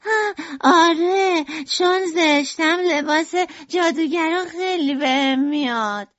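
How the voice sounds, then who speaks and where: Storybook part: woman playing a gloomy, self-mocking girl, high and gravelly. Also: fairly even intonation. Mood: eager. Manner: high, drawn-out and croaky. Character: gloomy girl who thinks herself ugly. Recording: dull lo-fi audio.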